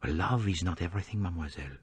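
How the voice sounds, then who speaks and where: A man voicing a gentle, grave foreign detective in an audiobook, speaking in measured, formal, courteous phrases. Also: on the low side, very animated, dry recording, voice pushed up. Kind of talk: audiobook character dialogue.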